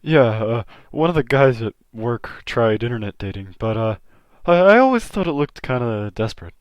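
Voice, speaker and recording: Man as caring dad, lively intonation. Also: mid-range voice, normal speed, smooth, no echo, dull audio, natural voice. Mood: awkward, hesitant. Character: caring, worried father of a teenage son. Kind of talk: comic dub voice acting.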